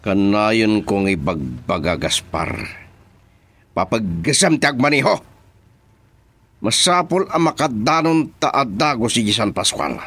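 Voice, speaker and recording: A man's voice: on the low side, big pitch swings, slow, fairly bright tone, slightly rough, exaggerated voice. An actor playing a bossy, impatient man of power in a radio drama, with an Ilocano Filipino accent. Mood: stern.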